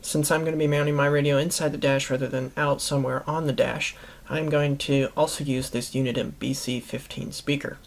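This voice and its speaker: A man's voice: medium pitch, flat delivery, a little fast, vocal fry. A man hosting a how-to video, giving instructional narration to viewers. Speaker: host of a how-to product video series.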